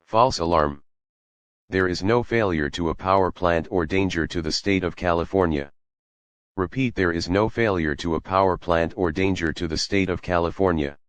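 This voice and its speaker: A man's voice: low-pitched, moderate pace, long pauses, no room sound. A man makes a terse, formal scripted announcement as an emergency alert announcer. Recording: dull lo-fi broadcast sound.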